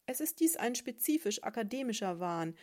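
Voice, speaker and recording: A woman's voice: a little high, expressive, normal speed, a little mellow, voice pushed up, lightly acted. A woman voicing a pompous, pedantic male sponge who lectures everyone in a fairy tale. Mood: lecturing. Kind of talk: storybook reading.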